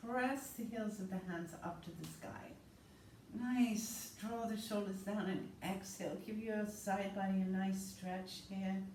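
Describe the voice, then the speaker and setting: A woman instructor guiding a yoga class with slow, soothing, calm cues. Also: medium pitch. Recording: roomy, distant mic with faint noise.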